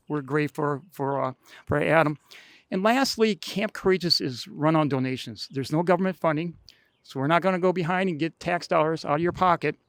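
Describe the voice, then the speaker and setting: An older American man with a slightly high voice speaks informally to a gathering. His delivery is plainspoken and folksy, with halting phrases. The sound is very dry, with no room echo. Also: clean tone. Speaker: camp leader announcing a donor-funded project.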